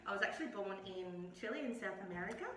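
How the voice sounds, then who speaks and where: A middle-aged woman, chatting as an interview guest in a relaxed, hesitant way with frequent ums. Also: mid-range voice, quick pace, slight background noise. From Australia. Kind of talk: casual conversational interview. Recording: echoey room, mic at a distance.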